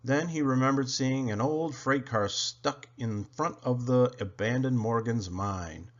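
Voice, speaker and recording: A man reading a children's story aloud in an expressive, dramatic, deliberate way. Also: mid-range voice, dull audio. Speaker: storybook reader.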